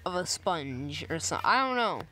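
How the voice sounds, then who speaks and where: A young woman casually commenting on a driving game, voice a little raspy from just waking up, rambling in a playful way. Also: deep voice, very animated, measured pace, pushed and bright, slight background noise, low-quality recording. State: slightly raspy, just woken up. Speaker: gameplay video maker. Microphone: phone screen recorder.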